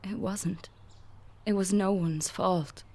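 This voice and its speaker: A woman with an Irish accent playing a fragile, hopeful young woman recovering from a crisis, speaking haltingly in a naturalistic radio drama. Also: medium pitch, steady tone, darkish tone. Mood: gentle, hopeful.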